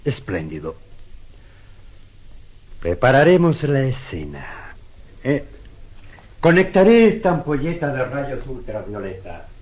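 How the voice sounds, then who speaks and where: Mysterious suave film actor in a radio play, formal, real slow and husky. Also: male voice, mid-range voice, big pitch swings, a little mellow, heavily acted, low-quality recording. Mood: commanding. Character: mysterious, courteous male film actor offering to pose as devil. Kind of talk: radio drama acting.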